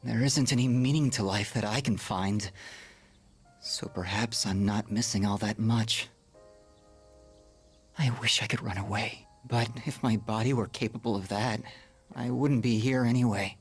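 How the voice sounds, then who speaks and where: A sickly, dying young man in a video game drama. He speaks slowly and wearily, breathy and halting, melancholy and resigned, with music behind him. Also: on the low side, steady tone. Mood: bitter, sorrowful. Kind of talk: English video game dub acting, dramatic monologue.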